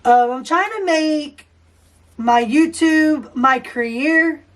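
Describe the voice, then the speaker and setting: A woman with a hoarse, high voice hosts a casual podcast. She talks slowly and rambles with fillers and restarts. Her voice is picked up from a few feet back from the microphone. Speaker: podcast host. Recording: voice distant from the mic.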